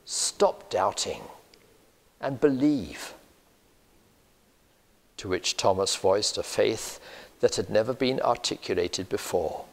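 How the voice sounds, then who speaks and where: Slow gravelly older preacher. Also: male voice, on the low side, expressive. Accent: British English. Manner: slow, measured, earnest preaching. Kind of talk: sermon. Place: church sanctuary, indoors. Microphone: lapel mic. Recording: long church reverb.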